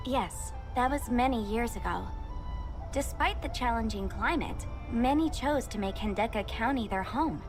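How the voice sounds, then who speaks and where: A woman voices a polite, helpful scholar in a video game. Her voice is somewhat high, and she explains in a formal, orderly way. Faint music plays behind her. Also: lively intonation, moderate pace, lightly acted. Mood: solemn explaining. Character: polite, studious female researcher, formal and helpful. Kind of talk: scripted video game voice acting.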